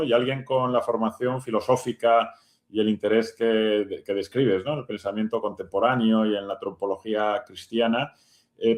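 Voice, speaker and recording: Man, radio host, medium pitch, conversational interviewing, halting phrasing, tag questions, roomy echo. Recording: roomy, echoing sound.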